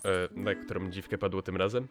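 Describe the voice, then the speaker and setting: A man voicing a slick, scheming male media mogul with smooth salesman patter in a fan dub. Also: sits low, steady tone, fairly quick, lightly acted. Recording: music under the voice.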